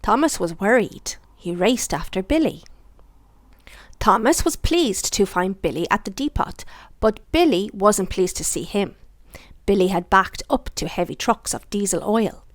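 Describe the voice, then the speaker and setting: A woman reading a children's bedtime story aloud in an expressive storytelling style. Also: mid-range voice, measured pace, no room sound.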